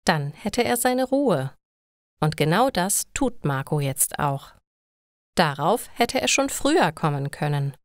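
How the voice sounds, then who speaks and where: A woman narrating a scripted story for language learners, reading clearly and carefully. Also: deep voice, sing-song, slow, no room sound, studio quality.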